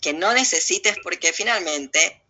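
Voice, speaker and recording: A woman's voice: lowish voice, lively intonation, measured pace, pushed and bright, muffled recording. A woman with an Argentine accent giving opinionated, informal interview answers in a blunt, emphatic way, using coarse slang. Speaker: interview guest.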